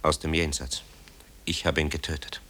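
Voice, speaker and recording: An actor playing a tormented grown son, a composer haunted by wartime guilt, in a grave, halting radio drama delivery. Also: male voice, a bass voice, flat delivery, a bit bright, slightly rough, full character voice. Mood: grim, haunted. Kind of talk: German radio drama acting.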